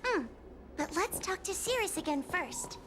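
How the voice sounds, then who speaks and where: A timid young girl in a video game, with a very high voice that moves up and down a lot. She is polite, hesitant and earnest, with music playing behind her. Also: expressive, a little slow. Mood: earnest, cautious. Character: timid but earnest young girl, novice ghost catcher.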